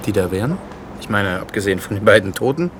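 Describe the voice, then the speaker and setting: An actor playing a dogged, sceptical police inspector in a naturalistic radio drama, speaking in a dry, probing, firm way. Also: male voice, on the low side, animated, fast, slight background noise, lightly acted. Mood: questioning.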